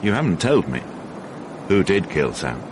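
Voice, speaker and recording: Over faint broadcast noise comes a male police inspector with a low voice and lively inflection. He is formal and precise, pressing steadily through his questions in classic radio mystery style. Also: moderate pace. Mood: probing, terse. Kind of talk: radio drama acting.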